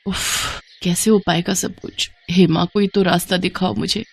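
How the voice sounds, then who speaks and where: A woman's voice: fairly low pitch, steady tone, moderate pace, vocal fry, breathy edge. Woman playing a worried, despondent woman lawyer. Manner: weary, despondent.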